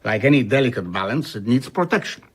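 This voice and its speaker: An actor playing a proud, grave older traveller in a radio drama, husky, with a measured, solemn delivery. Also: male voice, mid-range voice, animated. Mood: defensive. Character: proud, grave older male traveller recounting his past.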